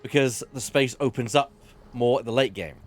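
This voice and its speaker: A man hosting a charity game stream, chatting in a relaxed, rambling way with a soothing voice. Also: mid-range voice, moderate pace. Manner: relaxed and rambling, with frequent restarts and "um". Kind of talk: casual chat while playing a game.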